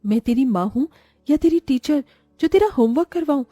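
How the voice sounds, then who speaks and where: A woman playing a greedy, envious, sharp-tongued young wife and mother in a Hindi cartoon moral story. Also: high voice, animated, normal speed, no room sound, soft background music. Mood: scolding, resentful.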